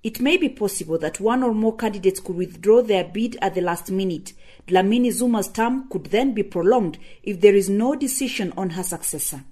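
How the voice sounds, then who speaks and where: A woman radio correspondent delivering a measured news report. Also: mid-range voice, warm tone.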